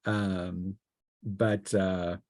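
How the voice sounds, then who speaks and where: Halting man running a tabletop game. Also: low-pitched, flat delivery, darkish tone, no echo, clean studio sound. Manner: halting, hesitant delivery. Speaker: game master. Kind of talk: improvised tabletop game narration.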